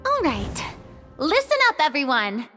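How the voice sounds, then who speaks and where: A brisk, commanding female director from a video game, theatrical and sure of her authority. Her voice is high, set in a reverberant space with music behind. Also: wildly swooping pitch, bright, forceful tone, full character voice. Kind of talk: animated video game voice acting.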